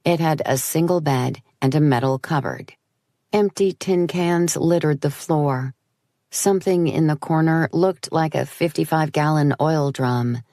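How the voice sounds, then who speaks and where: Low-pitched woman narrating a horror audiobook. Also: unhurried, dark tone, no echo, studio quality. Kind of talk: scripted horror fiction narration, first person.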